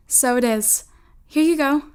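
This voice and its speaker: A high, girlish voice as a bossy big sister in a period audio drama. She coaxes and commands with theatrical flair, always scheming. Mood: coaxing. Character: bossy, scheming older sister, a girl in an old-fashioned household.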